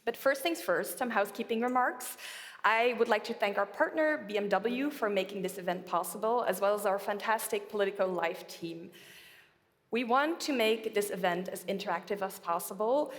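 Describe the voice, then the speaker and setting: A woman's voice: mid-range voice, normal speed. A woman from Belgium, hosting a live panel event in a polished, welcoming manner in a large museum hall. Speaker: event host and correspondent. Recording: long hall reverb.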